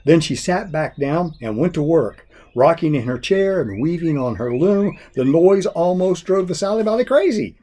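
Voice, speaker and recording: A man reading a children's folk tale expressively, in a folksy, deliberate storyteller's manner. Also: a little high, lively intonation, warm tone. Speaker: story reader.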